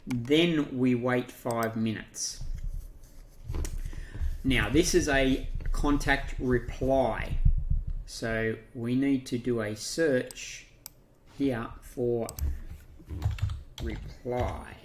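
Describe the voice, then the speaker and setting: An Australian man giving an unscripted software walkthrough, talking through each step haltingly as he thinks aloud. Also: medium pitch, steady tone, a little hiss or hum. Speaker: software tutorial presenter. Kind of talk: unscripted screen-share walkthrough.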